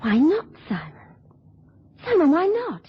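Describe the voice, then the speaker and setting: A woman's voice: very high voice, wildly swooping pitch, a little slow, a little hiss or hum, heavily acted. An actress playing an anxious, devoted woman in love, with emotional pleading in an old radio drama. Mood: urgent hope. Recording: dull, lo-fi old radio recording.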